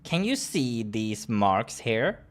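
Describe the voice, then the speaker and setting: A man voicing a serious, scholarly male adventurer who explains things in a deliberate, emphatic way in a visual novel. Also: mid-range voice, very animated, a little hiss or hum. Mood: intent, explaining.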